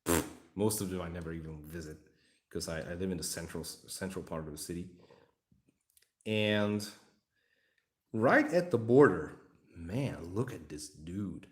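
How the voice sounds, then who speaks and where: Hungarian male streamer, very low voice, loose joking ramble, echoey room. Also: lively intonation, measured pace, long pauses. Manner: rambling, joking, talking to chat. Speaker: livestream hobby host. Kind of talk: casual livestream chat while building a model.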